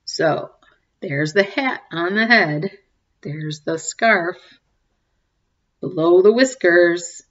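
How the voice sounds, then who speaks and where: Slow, low-pitched woman reading to children. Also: lo-fi sound. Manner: expressive, child-directed, stopping to ask questions and comment. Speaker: teacher reading aloud to young children. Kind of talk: picture book read-aloud with questions to children. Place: small home room, indoors. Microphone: webcam or laptop mic at desk distance.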